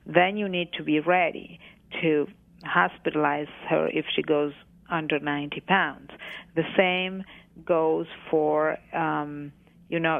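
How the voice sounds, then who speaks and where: A middle-aged woman from Argentina answering interview questions as an expert guest in a halting, thoughtful, self-correcting way, with narrow phone-like radio sound. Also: deep voice, vocal fry, no echo. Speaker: guest, psychologist treating eating disorders.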